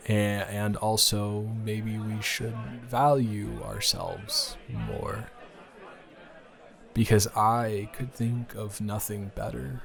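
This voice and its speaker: A man playing a smitten young man in an improvised romantic audio roleplay, speaking to his casual lover in a hesitant, earnest, halting way. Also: lowish voice, little pitch movement, vocal fry, fairly bright tone, faint music behind, full character voice. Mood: hopeful, gentle.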